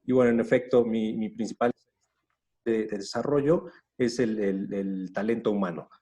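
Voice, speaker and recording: Low, mellow-voiced business trainer. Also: male voice. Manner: measured, slightly halting. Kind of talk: self-introduction. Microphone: video call.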